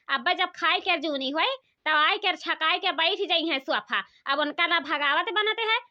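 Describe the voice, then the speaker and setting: A woman playing a sharp-tongued, put-upon village daughter-in-law in a comic cartoon, nagging in rustic talk with an Awadhi accent. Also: very high voice. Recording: dull lo-fi sound.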